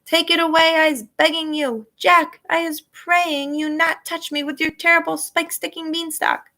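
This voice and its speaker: A woman's voice: lively intonation, normal speed, gravelly, fairly bright tone, rough recording. A woman voicing a huge male giant who screeches and begs in terror during a nightmare, in a storybook reading. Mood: pleading. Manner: shrill, pleading, frantic.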